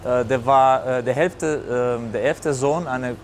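A man's voice: mid-range voice, measured pace. A man in his forties from southern Italy with an Italian accent, a native Italian speaker answering interview questions and often searching for words. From Basilicata, southern Italy. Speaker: restaurant operator, interviewee. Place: indoors in a restaurant bar. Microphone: lapel mic. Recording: clear background noise, restaurant ambience.

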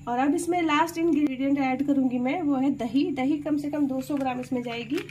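A woman with a high voice walks her viewers through a recipe step by step in a chatty way. She is recorded on a phone over the stove in a home kitchen. A noisy recording leaves kitchen sounds behind her. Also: fairly even intonation, a little fast. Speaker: cooking channel host. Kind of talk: step-by-step cooking demonstration.

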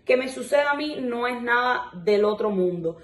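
A woman's voice: fairly high pitch, lively intonation, normal speed, roomy sound. A woman in her twenties from Cuba, speaking firmly and earnestly in an on-camera interview indoors. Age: 20s. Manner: firm, earnest. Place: bright indoor room.

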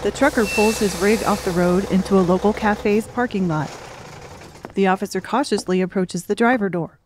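A woman's voice: mid-range voice, moderate pace, background noise. A woman reading scripted story narration for an audiobook.

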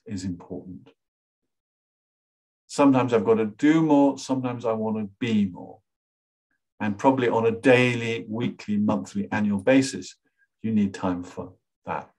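A man in his sixties teaching a webinar from a small room at home, talking over a webcam in a relaxed, rambling way with lots of "you know". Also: lowish voice. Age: 60s. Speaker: workshop facilitator. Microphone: webcam on a video call.

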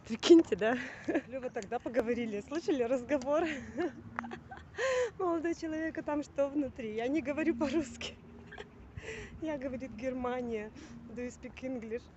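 A woman in her thirties filming a casual travel vlog at arm's length, chatty and quick to laugh and exclaim. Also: high voice, animated. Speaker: vlogger. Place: outdoors in a city and indoors in a museum. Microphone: handheld camera at arm's length. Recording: dull lo-fi camera audio with street noise.

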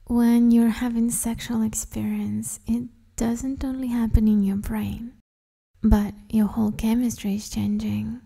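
A woman guiding a meditation in a soft, breathy half-whisper, soothing and gently instructing the listener. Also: fairly high pitch, level tone, unhurried. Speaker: meditation guide, host. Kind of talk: guided meditation, hypnosis-like.

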